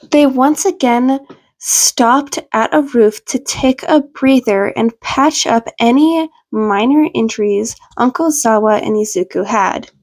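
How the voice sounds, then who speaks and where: A woman's voice: a little high, a little mellow. A woman narrating a story from a script. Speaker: podfic narrator.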